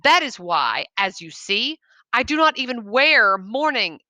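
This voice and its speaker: A woman's voice: on the high side, big pitch swings, measured pace, pushed and bright, no echo, heavily acted. A woman voicing a proud, stoic and insistent old bereaved father in a short story. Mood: fervent, defiant.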